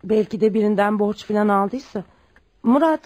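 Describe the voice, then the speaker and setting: An actress playing a gentle, honest, poor mother in a radio play, speaking with compassion. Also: medium pitch, moderate pace, lightly acted. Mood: gentle concern. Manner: compassionate, principled. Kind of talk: Turkish radio theatre acting.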